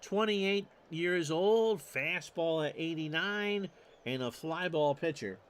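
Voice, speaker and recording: A middle-aged man hosting and calling a simulated baseball game, folksy and quick to correct and joke about his own slips. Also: fairly high pitch, slight background noise. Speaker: host and play-by-play caller of a simulated baseball replay. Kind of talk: solo tabletop sports simulation commentary streamed live.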